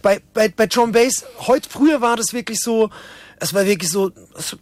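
A man's voice: high voice, normal speed, no echo. A man telling anecdotes in a casual interview, often repeating and restarting his words as he goes. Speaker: interview guest, drum and bass musician and event participant.

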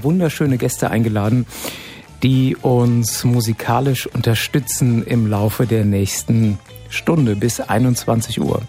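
Mellow-voiced male radio host, chatty. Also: mid-range voice. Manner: chatty, hesitant with many fillers. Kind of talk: relaxed radio magazine presenting. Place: radio studio.

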